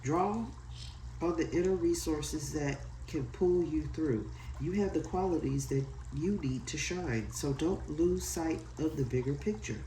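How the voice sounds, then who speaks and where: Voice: medium pitch, measured pace, mellow, far from the mic, a little hiss or hum. A tarot card reader with a stuffy nose from a head cold, chatting loosely and apologetically and reading aloud from a guidebook. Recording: echoey room, set back from the mic.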